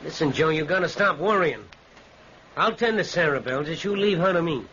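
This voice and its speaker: A man's voice: fairly high pitch, animated, normal speed, bright, forceful tone. An actor playing a placating, coolly scheming rural American man in an old-time radio drama, with folksy speech. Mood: reassuring, scheming. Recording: muffled vintage radio recording, faint hiss.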